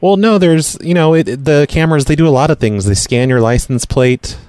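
A man making a casual prank phone call in a deadpan, hesitant manner, rambling and repeating himself as he goes. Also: on the high side, fairly quick, clear, resonant tone, no room sound. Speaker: prank caller, show host.

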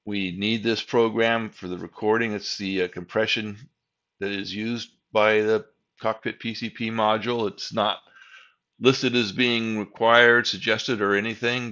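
An older man with a low voice narrates a software tutorial over his screen. He explains each step plainly and methodically. The recording sounds a bit dull and lo-fi. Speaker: tech tutorial host.

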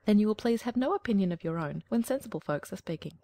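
A woman voicing a haughty male cat who speaks in a slow, breathy, smug way. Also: medium pitch, expressive, warm tone, no echo, clean studio sound, natural voice.

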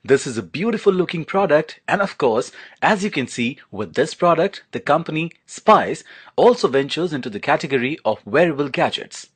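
A man's voice: fairly high pitch, lively intonation, moderate pace, breathy edge. A man narrating a product unboxing and demo as a reviewer, in an enthusiastic, promotional manner.

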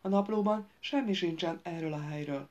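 A small, gentle young nobleman, as a woman narrator reads him. He answers calmly and without heat. It is told in a fantasy audiobook. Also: on the low side, muffled recording, lightly acted. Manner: calm and gentle.

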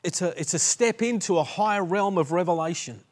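A man in his sixties with an Australian accent, preaching a sermon earnestly with many restarts from a dark studio set. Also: high-pitched, normal speed. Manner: earnest, gesturing, frequent restarts and repeated words. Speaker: pastor.

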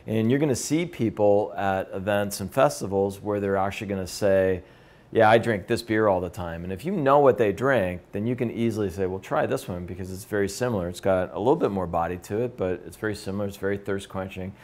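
Lowish-voiced man leading a beer tasting. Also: a little fast, a little mellow. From Canada. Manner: conversational, enthusiastic, gestures while talking. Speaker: beer educator. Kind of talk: tasting seminar talk. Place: brewery room with whiteboard.

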